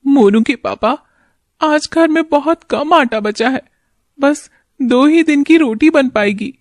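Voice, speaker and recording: A woman's voice: sits high, slow, no room sound, clean studio sound, voice pushed up. A woman voicing a poor, caring village wife and mother in a cartoon moral story, anxious and tender. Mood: worried. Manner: concerned, tender, plaintive. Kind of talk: animated moral story acting.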